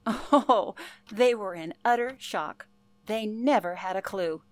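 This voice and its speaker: A woman's voice: mid-range voice, slow, lightly acted. An actress playing an older former telephone operator, telling her past in an earnest, genteel way for a radio play. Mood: wry reminiscing. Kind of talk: radio play, interview-style reminiscence.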